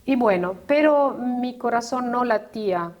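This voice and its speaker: Chilean woman, fifties, interview guest; reflective, halting delivery with frequent restarts. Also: on the high side, lively intonation, lo-fi sound. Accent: Chilean Spanish. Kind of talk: personal testimony in conversation.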